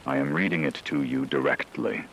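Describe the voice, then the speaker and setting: A low-voiced, grave radio broadcaster acted by a man delivers a formal catastrophe report. The pacing is measured and serious, over a bed of rain-like static. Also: creaky, a bit bright, full character voice. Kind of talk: scripted emergency radio report.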